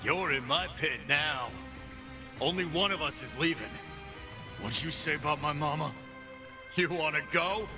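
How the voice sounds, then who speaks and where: A man's voice: a little high, unhurried, long pauses, music in the background, close to an everyday voice. An actor playing a cocky, tough male pit boss, voice lines for a video game with streetwise trash talk. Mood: cocky taunting.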